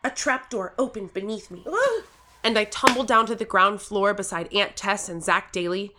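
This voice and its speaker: A woman's voice: mid-range voice, steady tone, moderate pace, noisy background, close to an everyday voice. A woman playing an earnest, determined thirteen-year-old girl who tells her own story with urgency in an audio drama. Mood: tense narration. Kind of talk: first-person audio drama narration and acting.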